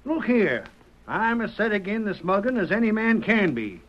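Flustered rustic jail guard, high, swooping voice, drawl, radio western. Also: male voice, very animated, normal speed. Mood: indignant. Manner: folksy, flustered, hesitant. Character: blustering, easily cowed rustic jail guard. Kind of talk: old-time radio western acting. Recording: muffled vintage radio broadcast.

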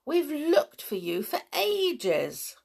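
A woman's voice: high-pitched, wildly swooping pitch, extremely slow, vocal fry, voice pushed up, heavily acted. A woman doing a nosy, insistent boy. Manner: eager and pushy.

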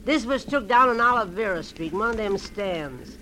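Cagey old rooming-house crone. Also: female voice, medium pitch, expressive, moderate pace, sharp tone, faint music behind. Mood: folksy, confiding. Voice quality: slurred through missing front teeth. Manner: folksy, sly, slangy. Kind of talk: 1940s radio character acting.

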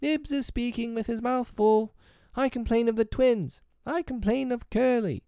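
A man acts out squabbling storybook children, eager little tattletales. The voice jumps up high and turns whiny and complaining, in a very dry recording. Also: measured pace, dark tone, clean tone, dry recording. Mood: tattling.

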